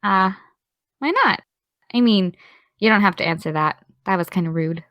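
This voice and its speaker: A woman playing a caring, gently teasing young woman in an audio drama, speaking in a casual, slightly tentative way. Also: mid-range voice, moderate pace, dry recording, muffled recording, natural voice. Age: young adult. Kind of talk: naturalistic audio drama dialogue.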